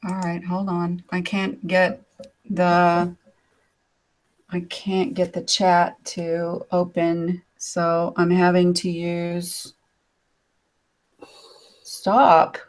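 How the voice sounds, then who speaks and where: Older woman, raspy, slow and lowish, rambling co-host on a video call. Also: completely flat delivery. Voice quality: raspy from decades of smoking. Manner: rambling, with many fillers and asides. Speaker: co-host of an online hangout. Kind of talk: casual chat on a video call. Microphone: computer mic on a video call.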